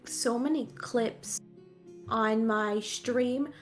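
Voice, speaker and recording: A woman from the United States, a guest in a casual streamed chat, who talks in an animated, expressive and self-mocking way. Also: fairly high pitch, extremely slow, soft background music.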